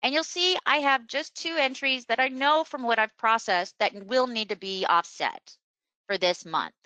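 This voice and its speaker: Bright-voiced woman giving a software walkthrough. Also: on the high side, moderate pace, no room sound, rough recording. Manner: instructional, step-by-step. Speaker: software trainer presenting a webinar. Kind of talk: screen-share software demonstration, unscripted explaining.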